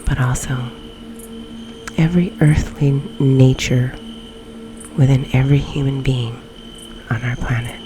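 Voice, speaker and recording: A woman leading a guided meditation in a soft, breathy, often near-whispered ASMR delivery, with long pauses between phrases. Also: a bass voice, fairly even intonation, crowd noise, rough recording. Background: background music.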